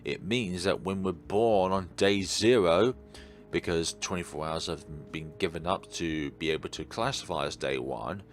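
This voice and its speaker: Low-voiced man musing over a game. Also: a little slow, faint music behind. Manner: rambling, earnest philosophical musing. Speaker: video game let's player. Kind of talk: casual commentary while playing a visual novel.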